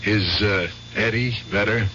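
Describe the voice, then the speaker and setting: Through dull, faintly noisy old radio sound comes a tense, practical veteran. He has a lowish, forceful voice and presses his point in radio-drama style. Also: fairly even intonation, unhurried. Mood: pressing. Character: tense, practical male war veteran, cautious old friend.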